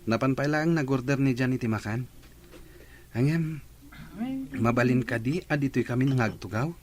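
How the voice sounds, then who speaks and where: A man's voice: mid-range voice, moderate pace, slight background noise, lightly acted. A man playing an easygoing young student in a radio drama, polite and casual. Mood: friendly.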